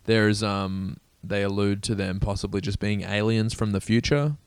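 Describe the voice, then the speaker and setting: Smooth dry-sounding guy, chatty, swears, casual movie talk. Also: fairly low pitch, steady tone, moderate pace, dry recording. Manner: chatty, swears, quotes lines in mock voices. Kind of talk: casual film chat.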